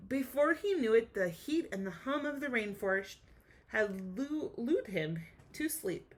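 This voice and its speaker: A woman teacher reading a storybook aloud to children in an enthusiastic, expressive way, speaking directly to her young listeners with questions and explanations. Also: a little high, a little slow.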